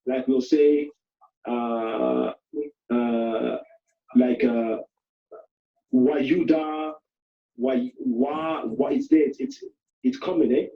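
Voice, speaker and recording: A man's voice: medium pitch, big pitch swings, drawn-out words, pauses often. A man answering questions in a casual spiritual talk, who often says "you know" and "hallelujah". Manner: warm, repeats "you know", exclamations like hallelujah. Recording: echoey, dull lo-fi call audio.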